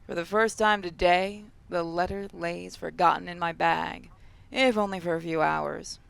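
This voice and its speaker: A woman's voice: fairly low pitch, steady tone, unhurried, gravelly, a bit bright. A woman hosting a game playthrough, reading story narration aloud and adding casual commentary. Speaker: gaming video host.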